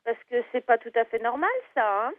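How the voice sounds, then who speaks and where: A woman talking on the telephone as a shelter worker, earnest, halting and reproving. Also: fairly high pitch, no room sound. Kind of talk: phone conversation. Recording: muffled narrowband telephone line.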